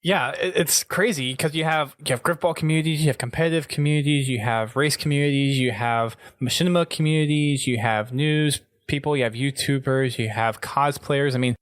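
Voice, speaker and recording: A man hosting a casual podcast discussion, laying out his points in a measured, deliberate way. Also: medium pitch, no echo. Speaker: podcast host.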